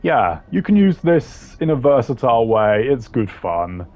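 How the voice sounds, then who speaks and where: A man's voice: mid-range voice, sing-song, unhurried, darkish tone, highs cut off, faint music behind. A man giving casual, slangy tier-list commentary in a blunt, opinionated way. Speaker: commentator ranking game voice lines.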